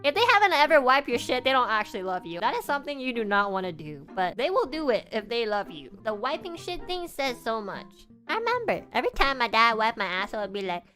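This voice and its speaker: A young woman from Canada whose first language is Chinese, telling a crude childhood story in an animated, laughing way as a streamer. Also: a little high, fast, few pauses, faint music behind. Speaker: virtual streamer. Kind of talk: casual storytelling chat.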